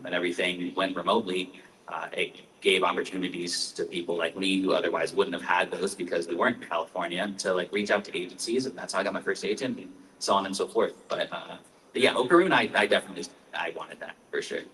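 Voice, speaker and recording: A deep-voiced young man from Massachusetts speaks casually on a convention panel, restarting sentences and filling pauses with "um." His voice sits far from the mic in a roomy, echoing hall with faint background noise. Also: steady tone, a bit bright. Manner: rambling, many fillers and restarts. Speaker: panelist voice actor. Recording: echoey room mic from far off.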